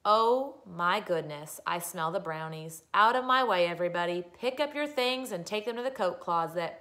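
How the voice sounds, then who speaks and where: A woman voicing a busy, sensible mother in a storybook, brisk and mildly exasperated yet patient. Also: lowish voice, expressive, sharp tone. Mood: flustered, bossy.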